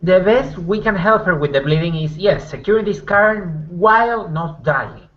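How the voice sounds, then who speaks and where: A man playing a plain-spoken, worried werewolf packmate in a tabletop game, talking casually and haltingly. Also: high voice, lively intonation, dull audio. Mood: concerned. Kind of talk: in-character tabletop roleplay.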